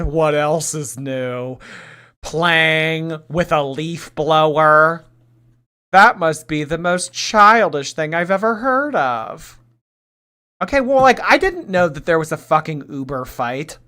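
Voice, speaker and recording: Bright teasing streamer girl in her late 20s, chatty, gets loud then mumbles. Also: deep voice. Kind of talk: livestream reaction chat.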